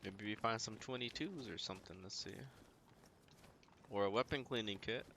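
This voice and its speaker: A man's voice: fairly low pitch, moderate pace, a bit bright, slight background noise. A man streaming a video game, giving casual running commentary and often muttering to himself as he plays. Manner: muttering to himself while playing.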